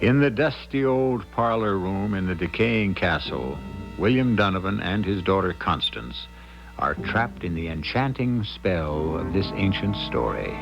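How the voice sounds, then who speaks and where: An older man giving measured, suspenseful scripted narration as host of a 1970s radio mystery drama. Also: low voice, creaky. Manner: measured, ornate, suspenseful delivery. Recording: muffled old radio broadcast over music.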